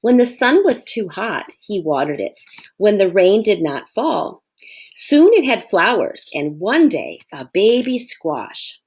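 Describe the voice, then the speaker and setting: Slow, expressive woman reading to children. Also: medium pitch, husky. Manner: expressive, instructive, addresses children directly. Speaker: elementary school teacher. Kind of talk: children's storybook read-aloud.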